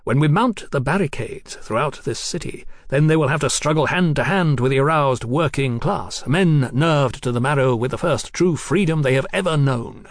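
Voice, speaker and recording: A man's voice: fairly high pitch, normal speed, hardly any pauses, dry recording, studio quality, pitched above the performer's own voice, natural voice. A man voicing a zealous young revolutionary for an audiobook, haughty and grandiose, declaiming like an orator. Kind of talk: audiobook character acting.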